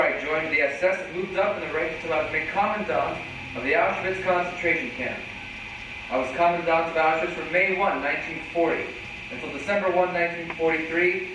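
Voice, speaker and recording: A man playing a former Nazi commandant in his forties in a staged reading, reciting his testimony in a formal, measured, matter-of-fact way. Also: on the high side, lightly acted. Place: hall, recorded from a distance. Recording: very echoey hall, far mic, loud noise.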